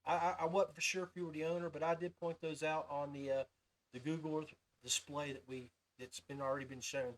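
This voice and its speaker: A man with a notably high-pitched voice hosts a casual podcast conversation. He talks in an informal, folksy way, like someone chatting with friends. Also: level tone, a little fast, rough recording. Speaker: podcast host. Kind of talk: casual interview chat.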